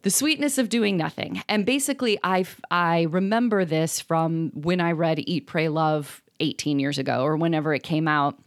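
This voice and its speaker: Low-voiced woman podcast co-host. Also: moderate pace, few pauses. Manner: thoughtful, rambling with many ums. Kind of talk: casual chat.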